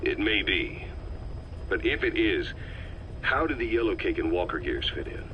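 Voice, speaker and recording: An actor playing a shrewd, level-headed veteran intelligence officer in a video game, talking in a measured, analytical way. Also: male voice, sits low, big pitch swings. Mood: grave, analytical. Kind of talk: dramatic video game voice acting. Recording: muffled, slightly noisy cassette tape.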